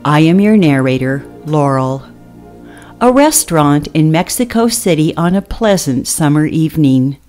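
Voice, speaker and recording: Radio theatre narrator: female, low register, measured pace, scripted stage directions. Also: expressive, a little mellow, faint music behind.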